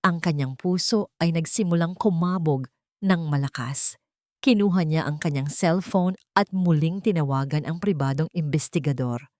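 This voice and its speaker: Low-voiced woman narrating a dramatic story. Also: moderate pace, a little mellow, no echo, studio quality.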